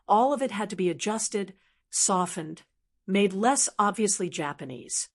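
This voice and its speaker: A woman reading an audiobook in scripted narration, measured and even. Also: medium pitch.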